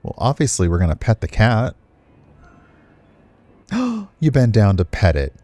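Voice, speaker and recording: A man's voice: lowish voice, wildly swooping pitch, measured pace, a little mellow, no room sound. A man narrating a visual novel expressively, with casual commentary as he streams it. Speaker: game streamer.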